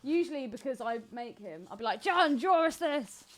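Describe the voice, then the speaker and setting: A woman with a high, very lively voice co-hosts a casual podcast. She is excitable and blunt, her pitch swinging about as she banters. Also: normal speed. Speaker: podcast co-host.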